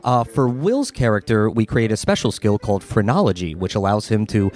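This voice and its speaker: A man's voice: fairly low pitch, expressive, fairly quick, a little mellow. A man acting as game master, narrating and explaining a tabletop role-playing game. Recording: music playing under the voice.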